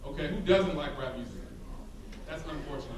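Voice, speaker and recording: A man's voice: on the high side, brisk. Laid-back young man in echoey hall. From South Side of Chicago. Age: young adult. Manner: laid-back, rambling, many "like" and "um" fillers. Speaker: rapper and guest speaker. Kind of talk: casual talk to a student audience. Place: large hall with a live audience. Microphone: handheld microphone. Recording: echoey hall, distant mic, crowd noise.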